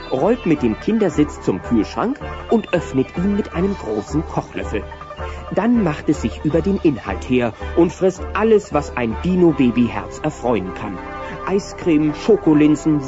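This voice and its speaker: A man tells a children's story in a scripted, expressive way. His pitch is a bit high and very varied. Music plays behind him on a slightly dull recording. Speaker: narrator. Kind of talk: scripted children's audio play narration. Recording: dull cassette transfer with music.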